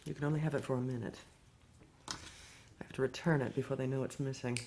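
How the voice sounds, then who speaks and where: Audio drama: imperious, condescending wife, deep creaky voice, brittle edge. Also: female voice, steady tone, fast talker, background noise. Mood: coolly gracious.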